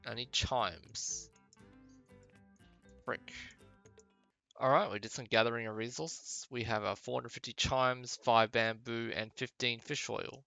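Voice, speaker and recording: A man hosts a casual game playthrough in an ordinary mid-pitched voice. He talks through what he is doing and reads dialogue aloud, with faint music playing behind him. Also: fairly even intonation, unhurried, creaky, pauses often, pushed and bright. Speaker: game streamer, playthrough host. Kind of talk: casual gameplay commentary mixed with reading dialogue aloud.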